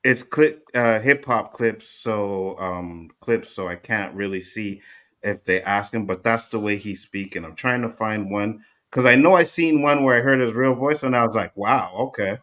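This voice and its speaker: A man's voice: lowish voice, moderate pace, a bit bright. A man hosting a casual panel chat, hesitant and searching as he talks, with many fillers.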